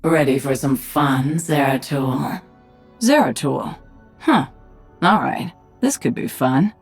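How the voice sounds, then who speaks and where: Low-voiced cocky assassin queen. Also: animated, takes it slowly, faint music behind, exaggerated voice. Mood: cocky teasing. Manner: dry, taunting. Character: cocky, sardonic female psychic assassin turned alien queen. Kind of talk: video game voice acting.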